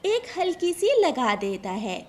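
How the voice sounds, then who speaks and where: A woman's voice: high-pitched, sing-song, unhurried, darkish tone. A woman narrator reading a scripted cartoon story for children in Hindi.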